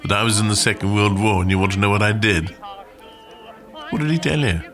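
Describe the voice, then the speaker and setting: Actor as wry old veteran, lively low voice. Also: male voice, fairly quick, soft background music. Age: older. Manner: conversational reminiscing, dry understatement. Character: old male war veteran glider pilot, wry and plainspoken. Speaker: actor in a radio play. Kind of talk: radio play monologue.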